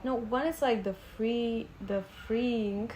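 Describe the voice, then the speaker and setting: A young Bulgarian woman hosting a podcast in English, animated, laughing often and searching for words. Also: on the high side, slow pace, slight background noise. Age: young adult. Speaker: podcast host. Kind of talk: casual interview chat.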